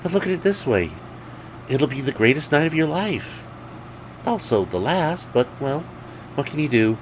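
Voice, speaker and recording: A man's voice: on the high side, sing-song, moderate pace, slight background noise, voice pushed up, low-quality recording. A man voicing an ancient demon seductress in a story, blunt, irritable and matter-of-fact with a sardonic grumble. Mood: teasing menace.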